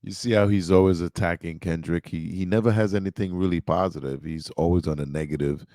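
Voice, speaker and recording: A man's voice: deep voice, fairly even intonation, a little fast, dark tone, no echo, studio quality. A man giving casual, opinionated commentary in a group conversation.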